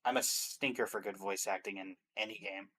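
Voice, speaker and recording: A man's voice: lowish voice, very animated, brisk, gravelly, bright, forceful tone, slightly rough. A man chatting casually and giving his opinions as a guest commentator on games. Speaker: guest commentator on game awards stream.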